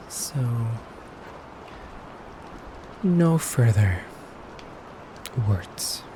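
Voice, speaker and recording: Guy doing a elegant femboy vampire, stern n polite, slow hushed asmr voice, some noise behind it. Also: medium pitch. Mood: cool, courteous. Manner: soft, deliberate, pausing, often dropping to a whisper. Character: beautiful femboy vampire interrogator, controlled, stern yet courteous. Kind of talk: ASMR roleplay.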